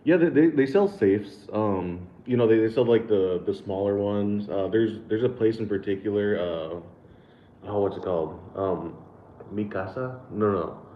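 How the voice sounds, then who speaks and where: A man's voice: fairly low pitch, measured pace, reverberant, lo-fi sound, a little hiss or hum. An American man hosting a casual livestream, reading super chats aloud, laid-back, laughing often and stumbling over repeated words and fillers. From United States. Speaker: livestream host.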